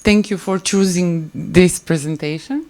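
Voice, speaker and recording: A woman with a Serbian accent giving a prepared conference presentation in a hesitant, halting manner, often restarting phrases. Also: fairly low pitch, warm tone, no echo. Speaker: conference presenter, maritime spatial planner.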